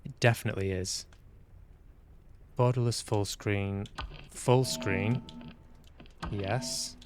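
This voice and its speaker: A man's voice: lowish voice, fairly even intonation, takes it slowly, slight background noise. Mancunian man casually streaming a game. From Manchester, UK. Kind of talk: casual live game commentary, reading prompts aloud. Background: faint game music.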